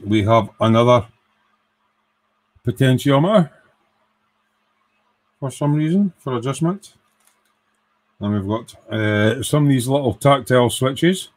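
A man with an even, mid-pitched voice chats through an electronics kit build from his workshop bench. He talks at an unhurried pace, thinking aloud and counting components as he goes. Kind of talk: casual live build commentary. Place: workbench in a home workshop.

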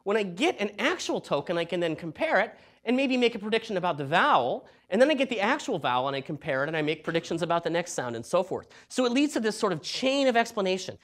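A middle-aged man giving an academic lecture in a hall, talking in a lively, conversational way with joking asides. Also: high voice, fast talker, hardly any pauses. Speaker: university researcher giving a talk.

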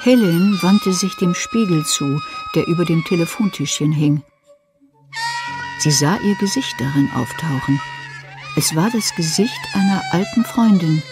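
A radio thriller narrator with an animated, dramatic delivery. The scripted storytelling moves widely in pitch, with music clearly playing underneath. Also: unhurried.

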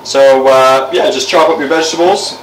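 A man's voice: mid-range voice, normal speed, slight background noise. A young man casually talking through cooking a roast in his home kitchen, rambling with lots of fillers. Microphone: camera mic from across the room. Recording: roomy, distant camera sound.

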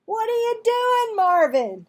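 A woman reading a storybook, voicing an alarmed child calling out loudly and urgently to a schoolmate. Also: very high-pitched, big pitch swings, takes it slowly, pitched above the performer's own voice, exaggerated voice.

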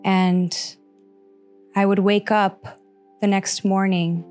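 Woman in her thirties telling her story slow and earnest on stage, handheld mic, soft music behind. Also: mid-range voice, flat delivery. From Costa Rica. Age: 30s. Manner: slow, earnest, heartfelt delivery with pauses. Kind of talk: personal story monologue. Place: small stage in front of seated audience.

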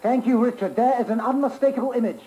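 Male: excited sixties live commentator. Very quick, mellow tone, faint broadcast noise. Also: very high-pitched, poor audio.

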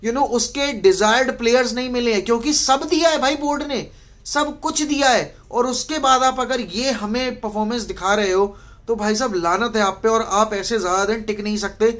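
A man's voice: very high voice, fairly even intonation, normal speed, fairly bright tone. A man in his twenties with an Indian accent, speaking bluntly and emphatically in an exasperated, casual fan rant, recorded in a small bedroom. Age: 20s. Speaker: football fan vlogger. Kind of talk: post-match fan rant, casual Hindi-English mix.

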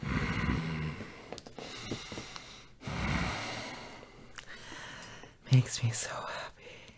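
A man playing a tender, possessive, gently dominant boyfriend in an intimate audio roleplay, often whispering in a soft, breathy murmur. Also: extremely low pitch, very animated, full character voice. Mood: adoring, possessive. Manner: slow, soft, murmuring with pauses.

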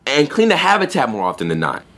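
A man's voice: mid-range voice, wildly swooping pitch, fast talker, bright, forceful tone, full character voice. A young man playing an earnest, guarded pet store clerk in a naturalistic audio drama, with blunt, slangy, hesitant phrasing. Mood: matter-of-fact. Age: young adult.

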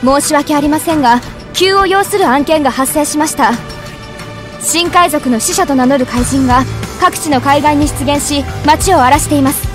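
A woman acts out an agency operator in an anime game. Her high voice is formal and businesslike, with composed urgency. Music plays behind her. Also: moderate pace, natural voice. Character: businesslike adult female hero-agency operator. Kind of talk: Japanese anime game acting.

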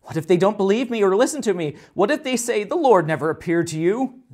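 Moses, an anxious old prophet, read by a man, pleads in a raised, hurried voice that tumbles over his own words. He sounds fretful, and his lines come out quicker than the narration around them. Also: high-pitched, animated, darkish tone, pitched above the performer's own voice. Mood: worried.

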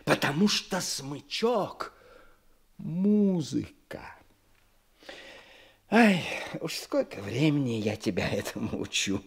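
An actor playing a passionate, exacting old violin teacher in a Soviet radio drama, theatrical and emphatic, with a husky voice. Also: male voice, fairly high pitch, big pitch swings, takes it slowly, pauses often, exaggerated voice. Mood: rapt, instructive.

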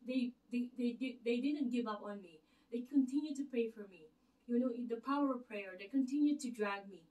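A middle-aged woman with a Filipino accent, giving an earnest, rambling faith talk to camera with many fillers and restarts in her living room. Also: on the high side. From Philippines. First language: Tagalog. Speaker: channel host. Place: living room with a lit Christmas tree. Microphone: camera across the room. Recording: distant camera mic in a room.

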